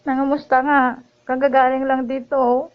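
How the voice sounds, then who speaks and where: Woman, Filipino accent, fairly high voice, polite worried phone chat, muffled audio with faint noise. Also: level tone, moderate pace. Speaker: vlogger making a prank phone call. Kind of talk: casual phone chat, worried-sounding pleading.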